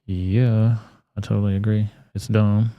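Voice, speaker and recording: A man's voice: lowish voice, flat delivery, unhurried, no room sound, phone-quality sound. A man hosting an online talk show, giving blunt, profane commentary on clips. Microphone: studio mic.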